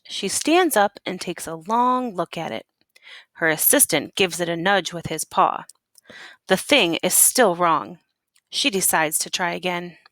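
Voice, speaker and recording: A woman teacher reading a picture book aloud expressively, with deliberate emphasis on key words and questions for young pupils. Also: on the low side, fairly bright tone, no echo.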